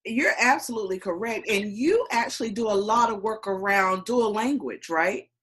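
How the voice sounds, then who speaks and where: A woman's voice: medium pitch, moderate pace, a bit bright. A woman hosting a podcast interview, asking questions and encouraging her guest. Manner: encouraging, affirming.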